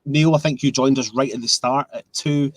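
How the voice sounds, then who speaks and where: A man's voice: medium pitch, fairly even intonation, moderate pace, no room sound. Chatty Scottish man reading comments. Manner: chatty, reads viewer comments aloud. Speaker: host. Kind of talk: casual fan live-stream chat.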